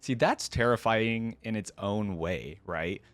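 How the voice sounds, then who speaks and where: Male reaction host, low register, very dry sound, reads story text with offhand asides. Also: slow pace, a bit bright, no echo. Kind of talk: reading a story aloud with casual commentary.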